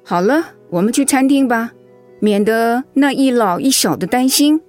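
An actress in a Mandarin radio drama playing a caring older mother-in-law, soothing, motherly and gently wry. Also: a little high, animated, moderate pace, soft background music, natural voice. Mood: comforting.

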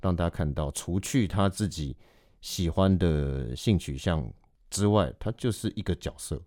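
Man, Taiwanese Mandarin accent, low-set voice, dark soft tone, reflective interview guest, conversational explanations. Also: normal speed, dry recording, clean studio sound. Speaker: actor, podcast guest.

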